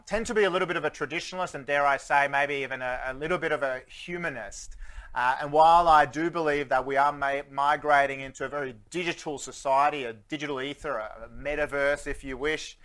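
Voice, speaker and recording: A man's voice: medium pitch, a bit bright. A man from Sweden with a Swedish-Australian accent, giving a conference keynote as a futurist, joking with the audience and asking them questions. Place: conference stage before a live audience.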